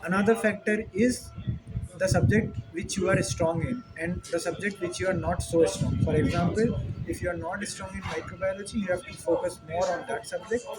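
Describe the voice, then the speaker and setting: A young man from India, a native Hindi speaker with an Indian accent, explaining things informally in an earnest, slightly halting way. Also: sits high, fairly even intonation, loud music behind the voice, rough recording. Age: young adult. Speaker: dairy technologist answering questions. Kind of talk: informal question-and-answer explanation.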